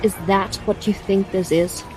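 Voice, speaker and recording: A woman's voice: mid-range voice, fairly even intonation, normal speed, music in the background, lightly acted, poor audio. Woman playing firm, guarded warrior noblewoman. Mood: defiant. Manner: firm, terse, serious. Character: resolute, guarded young noblewoman warrior protecting her companions. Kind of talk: audio drama acting.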